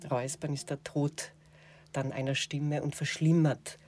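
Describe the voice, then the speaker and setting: A woman with an Austrian accent, a radio and television presenter, answering interview questions in a thoughtful way with many pauses and fillers. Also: deep voice, little pitch movement, darkish tone, slight background noise.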